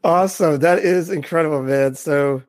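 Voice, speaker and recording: A man's voice: on the high side, moderate pace, no echo. A man hosting a podcast, upbeat and enthusiastic, chatting casually with his guest. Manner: upbeat, enthusiastic, repeats praise. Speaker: podcast host. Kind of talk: casual interview chat.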